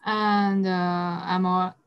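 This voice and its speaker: A woman from China introduces herself over a video call. She speaks slowly and carefully, as a graduate student meeting a new group. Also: on the low side, flat delivery, clear, resonant tone, highs cut off. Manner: careful, unhurried.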